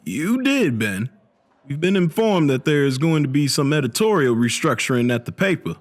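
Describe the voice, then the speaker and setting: A man playing an older, diplomatic newspaper editor in a comic dub, formal, measured and apologetic. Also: a little high, sing-song. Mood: weary, careful.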